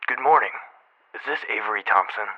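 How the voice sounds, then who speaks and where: Husky, low policeman over a muffled phone, acted. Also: fairly quick, pushed and bright, no room sound. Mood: formal, businesslike. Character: businesslike male police officer making inquiries. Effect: phone-call filter. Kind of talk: audio drama phone dialogue. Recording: muffled, band-limited phone call.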